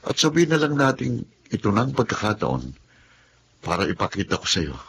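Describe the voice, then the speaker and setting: A loyal, generous young man, played by a man in a radio drama. His voice is very low and steady, with a faint hiss under it. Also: a little fast, vocal fry, full character voice.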